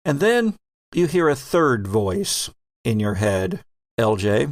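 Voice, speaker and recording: Creaky-voiced man narrating a tabletop game. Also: medium pitch, very animated, slow, no echo. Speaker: game master and host.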